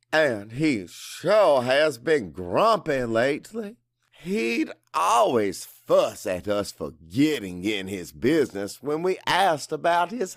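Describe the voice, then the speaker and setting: A man narrating a dramatic first-person audiobook in an emotive, deliberate way with pauses for effect. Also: medium pitch, no echo, clean studio sound.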